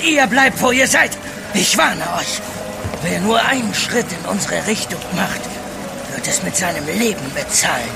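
An actor in a German radio drama playing a desperate, paranoid, coarse and threatening armed sailor. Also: male voice, sits high, lively intonation, a little slow, vocal fry, noisy background, full character voice.

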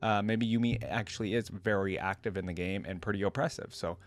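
A man's voice: lowish voice, fairly even intonation, fairly quick, no room sound. Young man reacting casually, close and dry. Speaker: reaction video host. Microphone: close desk microphone. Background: faint music from the watched video.

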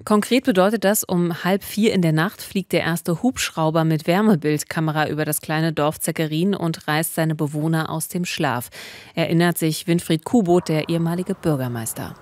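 A woman's voice: sits low, moderate pace, darkish tone, no echo. A middle-aged woman narrating true crime in a conversational, scripted way at a close studio microphone. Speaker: true-crime podcast host, journalist. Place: small studio, indoors. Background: music.

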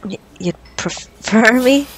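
A woman's voice: fairly high pitch, slow, fairly bright tone, husky, exaggerated voice, low-quality recording. A woman playing a flustered, awkward young doctor on a date in an ASMR roleplay, stammering nervously and self-consciously. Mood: nervous, smitten.

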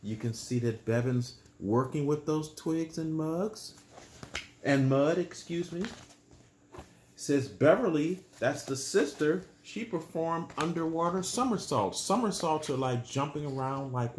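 A man in his sixties reading a children's bedtime story aloud in a small room, pausing to explain things simply and patiently. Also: fairly high pitch, animated, echoey room, slight background noise.

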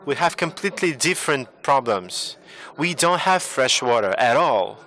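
A man from Tunisia speaks up from the audience, earnest and a little repetitive as he makes his point. His voice is bright and forward, pitched in the middle range. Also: animated, normal speed. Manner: earnest, repeats himself. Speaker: audience member. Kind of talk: question from the floor.

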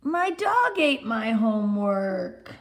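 Lady doing a naughty little kid from a picture book, really high, whiny, dragging words out slow. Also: very animated, heavily acted. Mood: protesting. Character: mischievous little boy making whiny excuses.

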